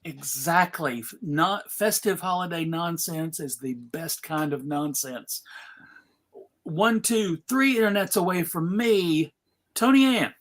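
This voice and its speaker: A male panel host with a bright, cutting voice. He takes his time as he talks casually and playfully, introducing and chatting on a video call. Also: on the high side, animated, takes it slowly. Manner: playful, jokey. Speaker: panel host and convention track co-director.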